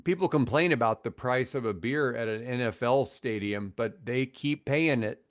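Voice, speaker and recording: Male podcast guest, mid-pitched, casual and opinionated, emphatic, halting delivery.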